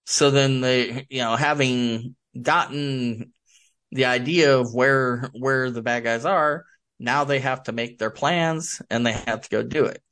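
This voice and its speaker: A man speaking as a guest author in a casual interview, explaining things in a halting, rambling way. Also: medium pitch, steady tone, a bit bright. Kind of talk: casual interview chat about his book.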